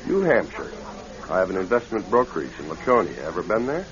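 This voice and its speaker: An actor playing a genial, chatty gentleman broker in a classic radio drama. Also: sits low, sing-song, a little fast. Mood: genial, interested. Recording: dull old radio, busy crowd behind. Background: crowded dining room chatter.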